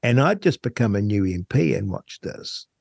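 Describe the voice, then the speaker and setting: A man with a fairly low voice and a New Zealand accent hosts a radio talk show. He speaks conversationally and reflectively, halting as he pieces his thoughts together. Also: animated, vocal fry, darkish tone, no echo, clean studio sound.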